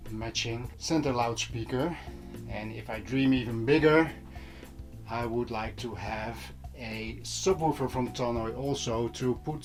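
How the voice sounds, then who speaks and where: A man talking casually to viewers, explaining things in a conversational way as an audio vlogger. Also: mid-range voice. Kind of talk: casual vlog talk to viewers. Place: small room at home. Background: music playing.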